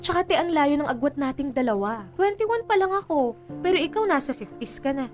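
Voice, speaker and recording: A woman's voice: high voice, lively intonation, brisk, darkish tone. A woman playing a frank, cheerful 21-year-old daughter in a conversational radio drama, with a Filipino accent and a candid, teasing, reassuring manner. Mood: earnest, probing. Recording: music bed under the dialogue.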